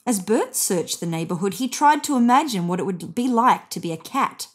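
A woman in her thirties narrates a children's picture book aloud. Her delivery is expressive, with very lively rises and falls in pitch. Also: moderate pace. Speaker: storybook reader. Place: indoors on a bed.

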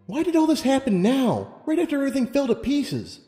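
A man acts out a flustered young pony mare from a storybook. The voice sits high and swings widely in pitch, stumbling through earnest protests full of self-doubt. It rings with long hall reverb. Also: animated, brisk, mellow, faint music behind. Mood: hesitant resolve. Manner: hesitant, stammering protests. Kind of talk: audiobook character reading.

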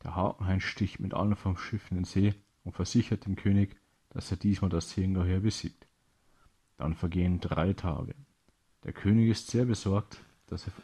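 Low, mellow, husky male storyteller. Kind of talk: children's story narration.

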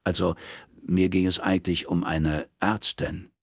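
A polite male investigator in a crime audiobook, acted by a male narrator. The voice is low and dryly recorded, with careful, measured questioning. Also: little pitch movement, gravelly, breathy edge, dry recording, clean studio sound, full character voice. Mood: polite, probing.

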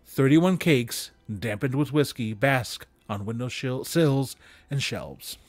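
A man giving an expressive literary reading of a story, with dramatic, deliberate phrasing. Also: medium pitch, dry recording, soft background music. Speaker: story reader. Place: small room with bookshelves, indoors. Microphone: close studio microphone on desk.

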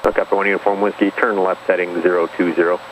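Very fast, low male air traffic controller. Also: level tone, lo-fi sound. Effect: aviation radio. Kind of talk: rapid procedural radio instructions. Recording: noisy band-limited aviation radio.

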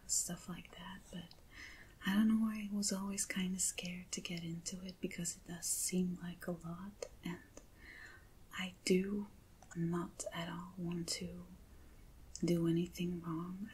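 A woman hosts an ASMR unboxing in a breathy, whispered voice. Her delivery is slow and gentle, with a soft, mellow tone. A faint noise sits behind her voice. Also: lowish voice. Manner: gentle, hushed, unhurried. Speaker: ASMR video host.

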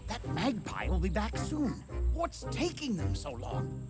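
A woman's voice: lowish voice, huge pitch swings, measured pace, full character voice. A woman voicing a brainy, bossy, theatrical bug schemer in a children's cartoon, speaking with precise command. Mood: urgent. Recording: loud background music.

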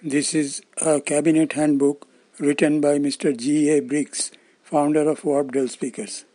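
An elderly man with an Indian accent presents in a dark, relaxed tone. His voice sits a little high for a man. He explains things formally, as if from a prepared script. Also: a little slow. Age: older, around 70s. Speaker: presenter of loudspeaker-making videos.

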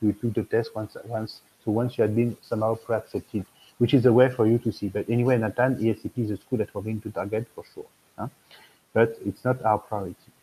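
A man's voice: fairly low pitch, steady tone, brisk, warm tone, slightly rough, rough recording. A man in his fifties with a French accent, talking on a video call as an admissions consultant, rambling with many fillers and restarts. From France. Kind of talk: video call webinar talk. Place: room at home.

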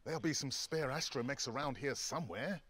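A man's voice: mid-range voice, a little fast, fairly bright tone, a little hiss or hum, lightly acted. An actor playing a practical male pilot mechanic who speaks in a matter-of-fact way.

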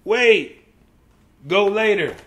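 An anxious, pleading elephant from a children's storybook, acted out by a man. He is high-voiced and melodramatic, with big swoops of pitch as he insists and repeats himself. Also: animated, drawn-out words, a bit bright, exaggerated voice. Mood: insistent. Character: anxious, pleading male cartoon elephant, prone to wailing.

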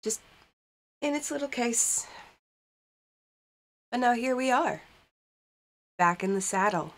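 A woman's voice: a little high, lively intonation, takes it slowly. Stuffy-nosed young woman chatting over gameplay. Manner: chatty, rambling, reads game text aloud. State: stuffy nose from a cold. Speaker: gaming channel host. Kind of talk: casual let's-play commentary. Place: cold basement in front of a green screen. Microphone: desk mic while wearing headphones.

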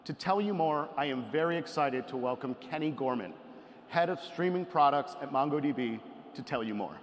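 A man's voice: mid-range voice, expressive, normal speed. A middle-aged man, an executive, delivering a rehearsed product keynote on a conference stage. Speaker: tech company executive, keynote presenter. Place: large conference stage, indoors. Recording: hall reverb, light background noise.

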